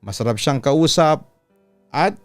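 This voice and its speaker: A man with a Filipino accent reading a listener's letter as a radio-style storyteller, smooth and resonant, in a measured, deliberate way. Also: a little high, animated, no echo. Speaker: radio announcer and story channel host. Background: background music.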